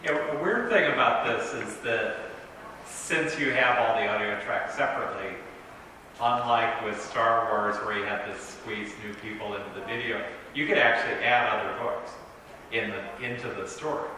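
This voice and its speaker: A man's voice: fairly low pitch, normal speed, sharp tone. A man casually putting questions to a panel from the audience. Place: convention panel room, away from the mic. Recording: very echoey hall, distant mic, noise.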